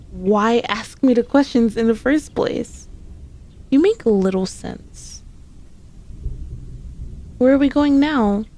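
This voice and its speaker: Woman acting a mellow, high-voiced sassy demon girl. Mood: annoyed, sassy, then grumbling, indignant. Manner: haughty, teasing, old-fashioned formal phrasing. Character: sassy, sneaky, possessive centuries-old female demon. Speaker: ASMR roleplay voice performer. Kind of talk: ASMR roleplay, scripted character acting.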